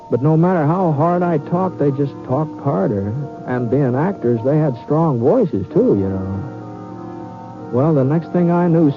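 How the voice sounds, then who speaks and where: A man's voice: mid-range voice, lightly acted. A man plays a mild-mannered Western gunslinger with a hesitant, folksy drawl who circles back over his own words. The sound is a dull vintage radio recording with faint music. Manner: halting, folksy, repeats himself. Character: mild, hesitant, drawling Old West gunfighter telling his tale. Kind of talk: old-time radio western acting and first-person narration.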